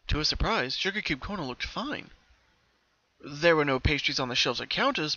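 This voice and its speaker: A narrator giving an expressive, careful dramatic reading of a story, as in an audiobook recorded at home. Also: medium pitch, lo-fi sound.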